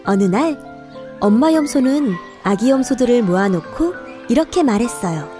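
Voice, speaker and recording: Female fairy-tale narrator for kids: high pitch, mellow timbre, clear scripted storytelling. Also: expressive, normal speed. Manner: clear storytelling to young children. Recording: faint music behind the voice.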